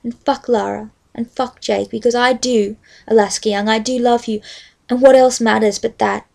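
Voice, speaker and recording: Creaky-voiced young Australian woman. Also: on the high side, fairly even intonation, normal speed, a little mellow. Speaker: vlogger. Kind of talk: casual rambling chat with a read-aloud book passage.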